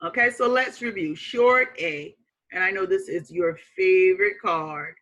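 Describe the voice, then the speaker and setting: Female primary teacher: bright tone, unhurried pace, simple repeated phrasing, question-and-answer phonics style. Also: mid-range voice. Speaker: elementary school teacher. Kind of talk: remote phonics lesson for young children.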